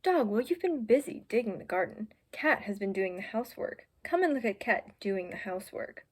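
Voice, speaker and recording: A young woman voicing a meddling, smooth-talking male fox stranger in a storybook, with an insinuating, faux-polite manner. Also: mid-range voice, expressive, moderate pace. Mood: falsely friendly.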